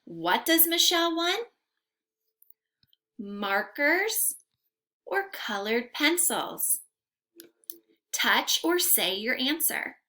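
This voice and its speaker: A young woman gives an interactive read-aloud lesson for young children. Her voice is high and swings widely in pitch, and she takes her time over each phrase. She speaks in a carefully enunciated, sing-song teacher's manner. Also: very animated, extremely slow, pauses often, sharp tone. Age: young adult. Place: room at home.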